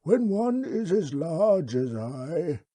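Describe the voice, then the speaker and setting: A man voicing a ponderous, courteous old alien creature that talks slowly and formally. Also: a little high, sing-song, warm tone, pitched above the performer's own voice, full character voice. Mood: calm, polite. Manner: slow, courteous, drawn-out speech. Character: ponderous, polite, ancient pig-like alien creature.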